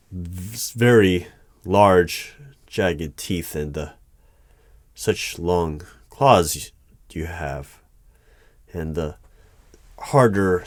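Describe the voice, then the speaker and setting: A man playing a formal, easily flustered hiring manager in an ASMR roleplay, speaking in measured, halting phrases. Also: sits low, dry recording. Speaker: performer of a one-sided roleplay. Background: computer keyboard typing.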